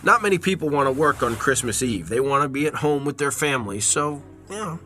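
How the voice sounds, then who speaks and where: Cheerful hippie man, genial, bright tone, lively pitch, drama, faint music. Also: a little fast. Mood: genial, playful. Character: cheerful hippie airline worker in angel costume. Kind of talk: audio drama acting.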